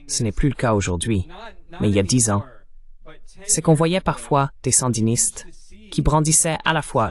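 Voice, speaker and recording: A man delivering a voice-over translation of political commentary in a measured, explanatory style. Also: mid-range voice.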